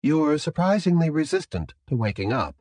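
Man voicing a calm, courteous captor, softly spoken formality. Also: fairly high pitch, no echo, studio quality, voice pushed up. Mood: politely detached. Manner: polite, measured, formal. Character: calm, courteous, businesslike captor behind a voice modulator. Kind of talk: audiobook character dialogue.

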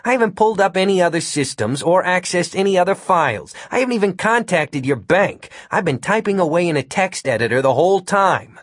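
A man voicing a calm, cheeky male computer hacker in an audiobook, reasoning in a politely smug, persuasive way. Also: on the high side, moderate pace, slightly rough, no room sound, clean studio sound, voice pushed up, exaggerated voice. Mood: protesting. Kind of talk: audiobook character voice.

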